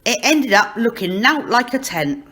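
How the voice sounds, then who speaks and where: A woman's voice: on the high side, expressive, measured pace, a bit bright, pitched above the performer's own voice. A woman voicing a strange, teasing boar man who tells riddling tales in a storybook. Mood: teasing, wry.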